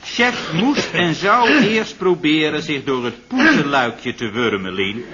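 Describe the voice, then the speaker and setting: A deadpan, pedantic assistant. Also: male voice, on the high side, slow pace, a crowd in the background. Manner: deadpan, correcting others, formal. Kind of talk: 1970s radio drama acting. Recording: dull old broadcast with faint hiss.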